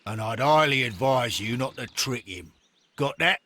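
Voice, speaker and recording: An actor playing a suspicious, bossy circus gatekeeper in a game, with a menacing manner. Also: male voice, mid-range voice, normal speed, sharp tone, slight background noise, close to an everyday voice. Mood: suspicious, commanding. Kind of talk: scripted game dialogue.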